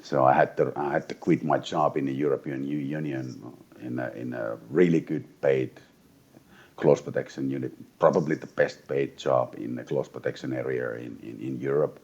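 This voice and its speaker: Very deep-voiced tired Finnish man. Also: fairly even intonation, a little mellow, slightly rough, lo-fi sound. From eastern Finland. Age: 40s. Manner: blunt, swears, halting restarts. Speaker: guest. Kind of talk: casual interview talk.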